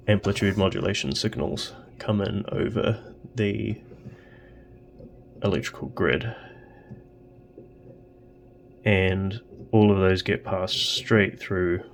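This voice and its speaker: A man narrating casually and haltingly as he tinkers at a workbench, thinking aloud. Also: low voice, fairly even intonation. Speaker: host of electronics tinkering videos.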